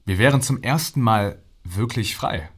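An earnest male scientist with a low, husky voice, presenting his vision in an audio drama interview. He speaks deliberately, builds rhetorical questions and leans on emphatic pauses. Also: expressive. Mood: persuasive, visionary.

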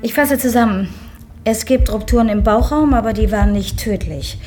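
A woman's voice: fairly high pitch, moderate pace, warm tone, soft background music, lightly acted. A woman playing a young, single-minded army pathologist in a radio drama, clinical and matter-of-fact. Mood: clinical, firm. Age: 30s.

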